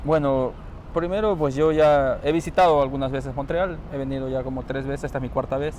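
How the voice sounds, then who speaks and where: A man from Ecuador with an Ecuadorian accent, calmly explaining his experience in a street interview, often trailing off softly. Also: mid-range voice, brisk. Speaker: interviewee, newly arrived asylum seeker. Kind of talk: casual interview answers. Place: outdoors on a city street. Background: faint traffic noise.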